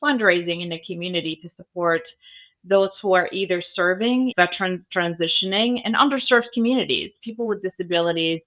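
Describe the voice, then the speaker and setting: Bright-voiced woman. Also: fairly low pitch, brisk, rough recording. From Arabic-speaking country. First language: Arabic. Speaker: guest, founder of a cybersecurity school. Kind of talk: podcast interview. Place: Las Vegas area.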